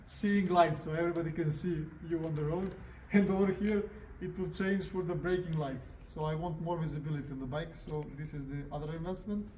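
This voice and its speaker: A man's voice: on the high side, steady tone, brisk, hardly any pauses, mellow, slight background noise, rough recording. A man with a Serbian accent hosting a motorcycle review in casual, unscripted vlog talk.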